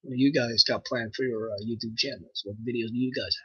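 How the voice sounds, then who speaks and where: A man's voice: medium pitch, fairly quick, husky, no echo, highs cut off. A young man from Nova Scotia with a French Canadian accent, chatting casually and rambling on his livestream with lots of fillers. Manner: rambling, relaxed, with frequent fillers. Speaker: livestream host.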